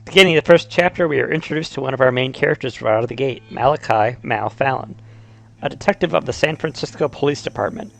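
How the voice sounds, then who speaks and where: A man's voice: medium pitch, fast, creaky, poor audio. A man narrating a video essay about games, mixing storytelling with casual, conversational asides. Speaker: YouTuber, video game explainer. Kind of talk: video essay narration, semi-scripted retelling and commentary.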